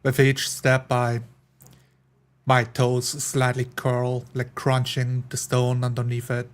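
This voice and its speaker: A man playing a tabletop game, narrating his character's actions in the first person, halting and deliberate. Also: medium pitch, completely flat delivery. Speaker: tabletop roleplay player.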